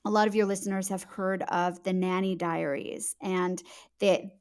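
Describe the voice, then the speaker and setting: A woman's voice: lowish voice, steady tone, normal speed, clear, resonant tone. A woman speaking casually as an author being interviewed on a podcast. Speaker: author guest.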